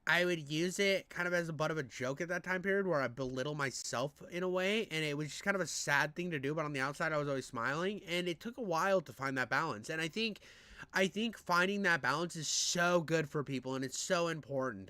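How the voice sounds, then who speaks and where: A man's voice: on the high side, quick pace, pushed and bright, dry recording. A man with a nasal voice rambling casually and crudely in reaction commentary, self-deprecating and often repeating himself. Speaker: streamer reacting to a video.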